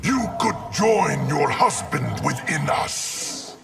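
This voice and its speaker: Game construct of many souls: gravelly, solemn, wildly swinging pitch, loud music underneath. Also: male voice, big pitch swings, exaggerated voice. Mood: grave, menacing. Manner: slow, solemn, forceful declarations. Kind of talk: video game character dialogue.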